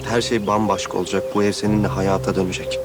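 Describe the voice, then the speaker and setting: Courteous young man, lowish voice. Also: little pitch movement, fast talker. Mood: encouraging. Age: young adult. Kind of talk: TV drama acting, naturalistic dialogue. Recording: prominent music under TV drama dialogue.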